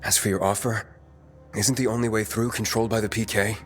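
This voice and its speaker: A man's voice: on the low side, flat delivery, a little fast, soft background music, lightly acted. An actor playing a determined, defiant young male survivor in a video game, blunt and guarded. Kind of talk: video game dialogue acting.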